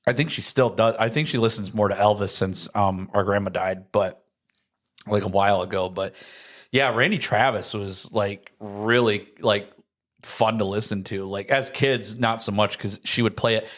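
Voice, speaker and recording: A man's voice: lowish voice, moderate pace, fairly bright tone. A young adult man co-hosting a casual podcast chat, rambling and saying "like" constantly. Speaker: podcast co-host.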